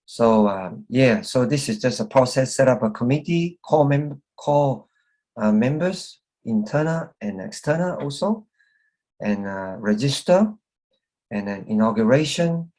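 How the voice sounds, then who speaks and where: A man from Malaysia giving a church presentation, halting and full of fillers and restarts. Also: mid-range voice, expressive, darkish tone. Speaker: presenter, reverend.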